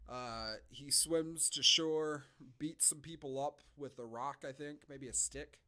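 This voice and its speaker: A man's voice: a little high, fairly even intonation, unhurried, pushed and bright. A man co-hosting a podcast, casually reviewing a film with sarcastic asides and frequent swearing. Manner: sarcastic, profane. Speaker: podcast co-host.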